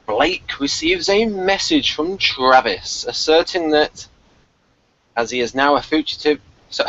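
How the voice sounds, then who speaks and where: A man hosting a review show in casual chat, excitable and prone to chuckling as he talks. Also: medium pitch. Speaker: podcast host of a TV review show. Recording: dull, lo-fi, dry recording.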